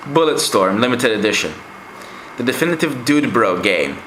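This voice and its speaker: Chatty man, bright voice, echoey room. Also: mid-range voice, animated, normal speed, a little hiss or hum. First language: Greek. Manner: rambling and chatty, with many fillers. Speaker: game collector vlogger. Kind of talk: casual game collection review.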